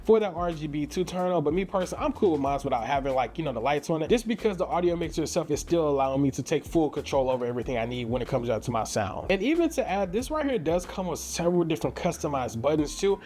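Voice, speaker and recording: St louis american guy doing a casual gear review, talks real fast, kinda higher voice, hyped and chatty. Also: expressive, darkish tone, slight background noise. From St. Louis, Missouri, United States. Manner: slangy, enthusiastic, conversational. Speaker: tech reviewer and content creator. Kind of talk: casual upbeat product review to viewers.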